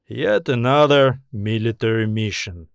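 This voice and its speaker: A man's voice: mid-range voice, measured pace, gravelly, smooth, no echo. A man narrating a scripted story as an audiobook author and reader.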